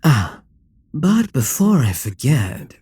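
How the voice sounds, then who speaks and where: A man playing a dominant, gloating Demon King in an intimate ASMR roleplay, smug and coaxing, with a breathy, husky voice that often drops toward a whisper. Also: mid-range voice, sing-song, slow pace, a little mellow, dry recording, full character voice. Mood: coolly triumphant. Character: dominant, gloating male Demon King toying with a captive hero.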